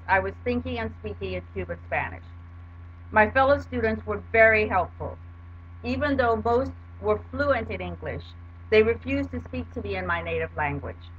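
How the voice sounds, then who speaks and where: An older woman from Jamaica, giving a prepared talk in a measured, formal way. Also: mid-range voice, dull audio, a little hiss or hum. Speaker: lecturer. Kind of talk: prepared talk read aloud.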